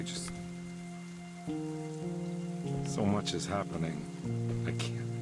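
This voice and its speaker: An actor playing a brooding, guilt-ridden, world-weary private detective in a video game, speaking in a halting noir manner. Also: male voice, low-pitched, natural voice. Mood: remorseful. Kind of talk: noir narration and dramatic game dialogue.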